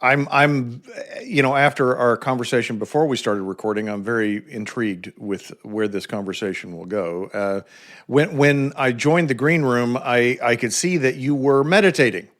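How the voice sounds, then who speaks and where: A man hosting a podcast interview, talking in a halting, thoughtful way with many restarts. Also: medium pitch, a bit bright. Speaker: podcast host. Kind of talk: conversational interview.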